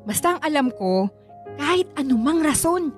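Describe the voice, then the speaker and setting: A woman acting a caring Filipino aunt, fairly high. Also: sing-song, normal speed, faint music behind. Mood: concerned. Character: caring, reassuring middle-aged Filipino aunt. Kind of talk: radio drama acting.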